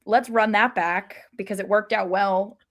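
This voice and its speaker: A woman's voice: mid-range voice, steady tone, a little slow, fairly bright tone. American woman co-hosting a sports podcast. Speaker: podcast co-host. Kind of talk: casual sports podcast chat.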